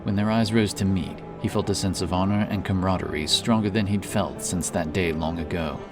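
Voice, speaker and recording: Casting: male epic fantasy narrator, low voice, deliberate and dramatic, set back from the mic under loud music. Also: fairly even intonation, distant mic. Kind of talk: scripted fantasy audiobook narration.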